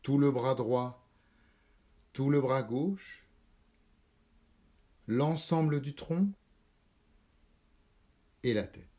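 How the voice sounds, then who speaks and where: A man leading a guided yoga nidra meditation, calm and measured, instructing in short spaced phrases. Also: mid-range voice. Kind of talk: slow guided relaxation meditation.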